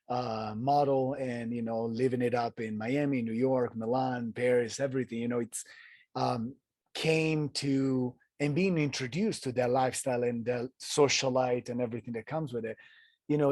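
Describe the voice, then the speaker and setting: A man from Italy with an Italian accent, telling his story as a podcast guest in an earnest, halting way full of restarts. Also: mid-range voice, darkish tone, dry recording, clean studio sound. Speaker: guest, ultrarunner. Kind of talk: casual interview storytelling.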